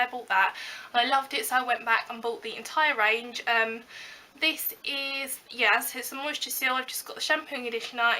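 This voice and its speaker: A young British woman talks through her beauty haul in a loose, rambling way, full of ums and likes. Her voice sits high and bright, though a cold leaves it a little croaky. A faint hiss hangs behind her. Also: rough recording. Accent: British English. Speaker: beauty vlogger. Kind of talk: casual haul video chat.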